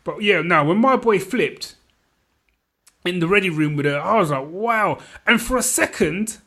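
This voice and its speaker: A man with a fairly high voice talks casually as a podcast co-host. He retells things in an animated, slangy way, punctuating his chat with little checks that his listener follows. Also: normal speed. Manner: animated retelling, slangy, peppered with "you know what I mean". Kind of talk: casual chat about TV shows.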